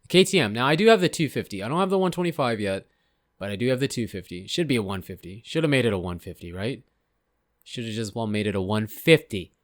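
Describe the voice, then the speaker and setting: A man casually talking over game footage, rambling and unsure of himself, a little hoarse from losing his voice. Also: medium pitch, very animated, fast, no room sound, studio quality. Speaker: gaming channel host. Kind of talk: casual gameplay commentary.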